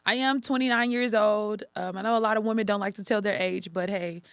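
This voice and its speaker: A woman's voice: mid-range voice, fairly even intonation, fairly quick, clean tone, no room sound, studio quality. A woman in her late twenties from Texas, hosting a solo podcast in a casual, upbeat, chatty way with frequent ums and restarts. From Texas, USA. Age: 20s. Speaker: podcast host.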